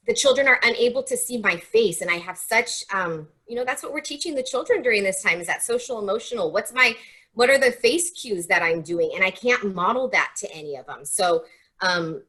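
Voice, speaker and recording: A woman who teaches toddlers, answering interview questions in a lively, hesitant way with fillers. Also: medium pitch, breathy edge.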